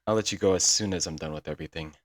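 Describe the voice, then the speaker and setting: A man's voice: low-pitched, very fast, no room sound, clean studio sound, lightly acted. A man playing a young, lovesick and clingy stalker in an intimate, earnest ASMR audio roleplay. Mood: giddy, excited. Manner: doting, earnest, dramatic with sighs and chuckles. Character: lovesick, broke young male yandere stalker-kidnapper, clingy and sweet.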